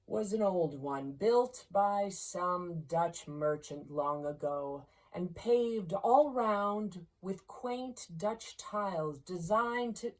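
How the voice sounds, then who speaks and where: Slow, lower-pitched woman reading a story. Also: rough recording. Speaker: amateur audiobook reader. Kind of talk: scripted storybook narration.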